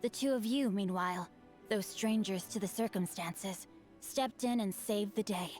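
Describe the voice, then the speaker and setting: A man's voice: high voice, unhurried, darkish tone, faint music behind. An actor playing a witty, refined young nobleman swordsman in a game, speaking in florid, formal, playful phrases. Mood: courteous.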